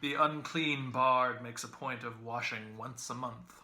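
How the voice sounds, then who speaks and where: A man reading a novel aloud as a loud, mocking, theatrical young Irish medical student who booms and declaims. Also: steady tone, a little slow, echoey room. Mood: jovial, grandiose. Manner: booming, declamatory, teasing. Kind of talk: literary audiobook character reading.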